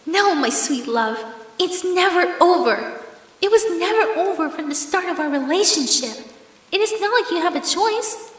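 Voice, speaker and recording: A woman's voice: extremely high pitch, lively intonation, normal speed. A woman playing a menacing, possessive yandere ex-girlfriend in an ASMR horror roleplay, with sugary endearments and theatrical commands. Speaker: voice actress in audio roleplay. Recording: echoey, long hall-like reverb.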